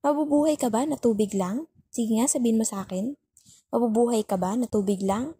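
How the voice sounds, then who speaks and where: A woman acts out a young Filipino girlfriend in an intimate ASMR roleplay, fussing and scolding out of worry. Her voice is dark and breathy, recorded very dry and close. She is bossy and sulky yet caring, repeating her complaints for emphasis. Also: a little high, a little fast, no echo. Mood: nagging, teasing. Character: nagging, worried, overthinking young girlfriend, bossy but caring.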